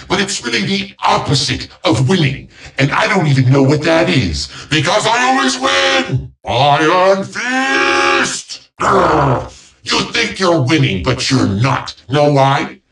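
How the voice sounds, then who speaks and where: A man's voice: medium pitch, gravelly, fairly bright tone, reverberant, far from the mic, heavily acted. A man voicing a boastful, childish robotic villain overlord in a video game, with grandiose, petulant delivery.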